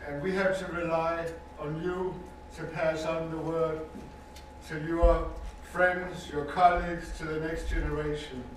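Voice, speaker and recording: An older man from Denmark with a Danish accent gives earnest, measured personal testimony as a survivor speaking to an audience, stressing points by repeating words. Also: on the high side, little pitch movement, slight background noise. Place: university lecture hall with audience. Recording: echoey hall recording from far off.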